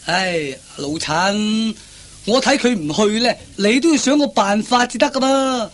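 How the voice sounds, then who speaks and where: A man's voice: sits high, a little slow, fairly bright tone, a little hiss or hum. An actor playing a glib, self-assured male workmate who coaxes and schemes in a radio comedy. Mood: persuading. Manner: coaxing, glib, exclamatory. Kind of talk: Cantonese radio comedy acting.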